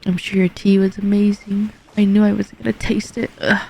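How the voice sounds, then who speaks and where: A woman's voice: medium pitch, completely flat delivery, moderate pace, creaky, noisy background. A woman streamer casually reacting aloud while playing a game.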